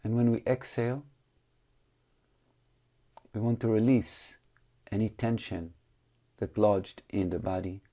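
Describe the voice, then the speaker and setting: A man with a deep, dark and relaxed voice leads a guided meditation. He gives calm instructions in short phrases, leaving long gaps between them. His English phrasing is slightly non-native. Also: unhurried, pauses often. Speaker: meditation teacher.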